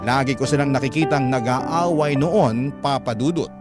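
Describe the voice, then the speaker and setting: Actor as solemn Filipino storyteller over music. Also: male voice, mid-range voice, moderate pace, lightly acted. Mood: solemn, sorrowful. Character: Wawi, sorrowful male letter sender recounting his life. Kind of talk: scripted dramatic narration for radio. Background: prominent background music.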